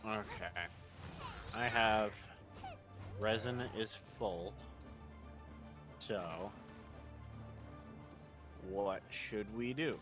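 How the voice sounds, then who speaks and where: A man's voice: on the low side, extremely slow, pauses often, music in the background. A man streaming a video game with casual, hesitant chat.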